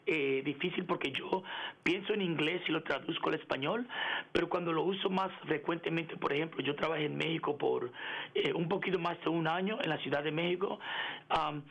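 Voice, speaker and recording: A middle-aged man in a radio interview, speaking haltingly with many fillers and restarts and slipping into English words. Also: fairly high pitch, vocal fry, a bit bright, low-quality recording. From United States. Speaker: interview guest, engineering executive. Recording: radio broadcast.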